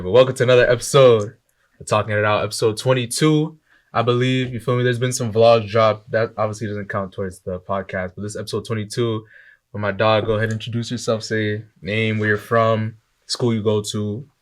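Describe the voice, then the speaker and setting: A young man hosts a casual podcast with easy, slangy banter. His voice sits fairly low and comes through a close podcast mic. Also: steady tone, fairly quick. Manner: laid-back slangy banter. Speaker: podcast host. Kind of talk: casual chat.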